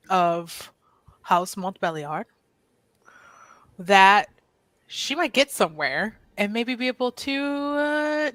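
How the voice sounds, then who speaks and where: A woman's voice: medium pitch, bright, forceful tone, no echo. A woman playing a tabletop roleplaying game, casually describing what her character does. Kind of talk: casual improvised game chat.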